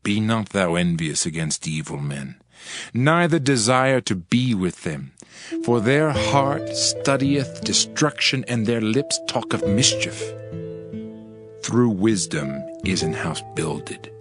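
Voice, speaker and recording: A man giving a dramatized Bible reading in a solemn, emphatic, oratorical manner. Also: on the low side. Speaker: scripture reader. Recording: music behind the voice. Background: music.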